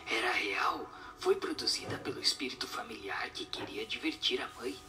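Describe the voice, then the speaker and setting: A man's voice: medium pitch, wildly swooping pitch, vocal fry, sharp tone, soft background music, heavily acted. Man voicing a whispery, solemn teaching spirit. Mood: solemn, instructive. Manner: didactic, measured explanations. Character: solemn instructing spirit answering questions.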